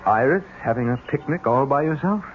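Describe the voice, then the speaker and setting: A man's voice: medium pitch, lively intonation, a little fast, soft background music, natural voice. An actor playing an irritable, uneasy married man, defensive and dismissive, in a 1970s radio drama. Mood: irritated. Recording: noisy, dull vintage radio broadcast.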